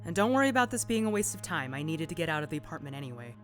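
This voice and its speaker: A woman's voice: low voice, big pitch swings, rapid-fire. An actress playing a self-possessed private investigator in her late twenties, casual and questioning, in an audio drama. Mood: polite, persistent. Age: young adult. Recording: music behind the voice.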